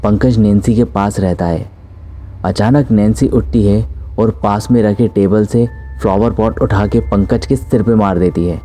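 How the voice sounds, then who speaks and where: A man's voice: lowish voice, unhurried, warm tone. A man narrating a scripted horror story as its storyteller.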